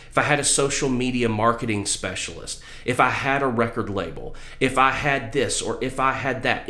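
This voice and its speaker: A man's voice: medium pitch, fairly bright tone. A middle-aged man giving an emphatic motivational talk to camera, repeating phrases to stress his points. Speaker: vlogger giving career advice. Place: small room indoors. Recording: roomy, echoey indoor sound.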